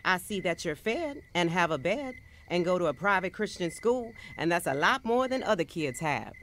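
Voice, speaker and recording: A woman's voice: on the low side, big pitch swings, normal speed, a bit bright, slight background noise. An actress playing a frank, concerned, motherly social worker in an audio drama, earnest and plain-spoken. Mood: firm, warning.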